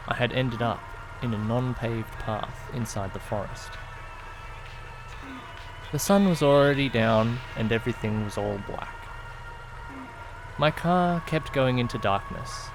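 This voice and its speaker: Man acting anxious young student narrator, noisy backdrop. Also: medium pitch. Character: anxious young male student driver narrating an eerie night. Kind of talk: first-person dramatic narration, visual novel audiodrama.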